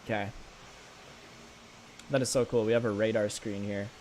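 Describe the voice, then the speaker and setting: A young man from Canada, live-streaming a flight game and chatting with viewers in a stammering, excitable way. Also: fairly low pitch, moderate pace, a little hiss or hum, poor audio. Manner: animated, stammering, talks to chat. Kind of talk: live gameplay stream chat.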